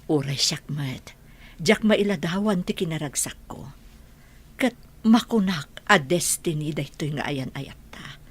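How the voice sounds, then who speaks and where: A woman's voice: deep voice, expressive, unhurried, breathy edge, full character voice. A woman with a Filipino accent playing a tender older bride-to-be in an audio drama. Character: Aurelia, tender older bride-to-be reunited with her love.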